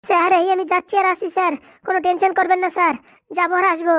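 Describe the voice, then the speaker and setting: A woman's voice: very high-pitched, little pitch movement, very fast, fairly bright tone, dry recording, studio quality. A woman voicing an eager, devoted male helper in a comic cartoon, deferential and fretful. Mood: worried.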